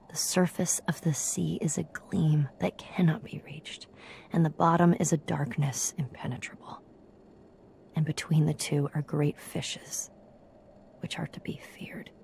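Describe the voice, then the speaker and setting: A woman playing an earnest perfectionist in a game audio log, speaking in a soft, breathy half-whisper. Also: deep voice, a little hiss or hum, heavily acted. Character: earnest, perfectionist woman recording solemn readings. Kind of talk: scripted reading and natural dialogue for game audio logs.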